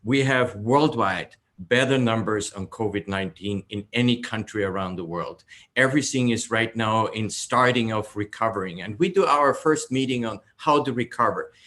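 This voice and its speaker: A man's voice: fairly low pitch, moderate pace, a bit bright. A man from Austria with an Austrian accent, a native German speaker, giving a welcome speech at an online event. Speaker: entrepreneur, event co-host. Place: online group call.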